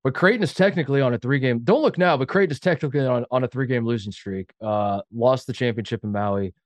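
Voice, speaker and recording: A man's voice: medium pitch, fast, no echo. Nasal man with a head cold. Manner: rambling, self-deprecating, restarts often. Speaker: podcast co-host. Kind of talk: casual sports talk.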